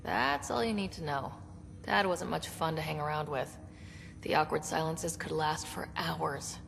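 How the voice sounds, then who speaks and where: A young woman leading a space expedition, her voice slightly low with a gravelly edge. She is wry and easygoing, in video game cutscene style, with long reverb around her. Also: a little slow, a bit bright, slight background noise, exaggerated voice. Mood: wry, reflective. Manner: wry, dry-humored.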